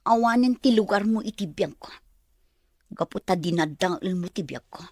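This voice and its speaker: An actress playing a bitter, unforgiving wronged mother in an Ilocano radio drama, with a husky, breathy voice. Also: medium pitch, lively intonation, moderate pace, a little mellow, no echo, studio quality. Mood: bitter, accusing.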